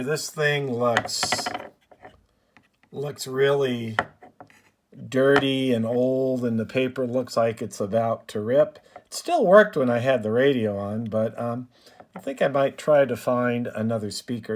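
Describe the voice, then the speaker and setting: A man giving a casual, unscripted show-and-tell as a hobbyist, halting now and then as he thinks aloud. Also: mid-range voice, a little mellow, slight background noise. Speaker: hobbyist presenter of a radio repair video.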